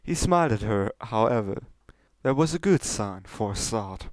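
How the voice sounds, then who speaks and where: A man's voice: on the low side, animated, moderate pace, darkish tone, no room sound. A man reading fan fiction as an amateur narrator, moving between casual talk and storytelling.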